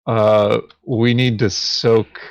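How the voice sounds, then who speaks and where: A man with a slightly low voice talks through a board game casually. His delivery is extremely slow and hesitant, as he thinks aloud between phrases. The recording is close and dry. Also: level tone, no room sound, highs cut off. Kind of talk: casual game table chat.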